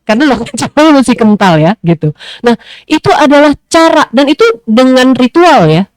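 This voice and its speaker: A woman panelist speaking in a discussion, thoughtful and pausing often with fillers as she reasons aloud. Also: high-pitched, sing-song, no echo, low-quality recording.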